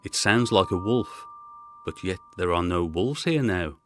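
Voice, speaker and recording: A man voicing a fearful, superstitious German coachman who speaks halting, broken English in an audiobook horror story. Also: deep voice, expressive, a bit bright, soft background music. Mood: uneasy.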